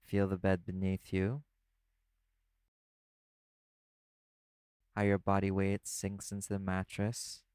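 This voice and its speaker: A man playing a laid-back, caring vampire in an audio roleplay, talking the listener toward sleep in a casual, gently instructive way. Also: deep voice, monotone, moderate pace, clean tone, no room sound, lightly acted. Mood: calm, soothing. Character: laid-back male vampire companion, teasing yet caring.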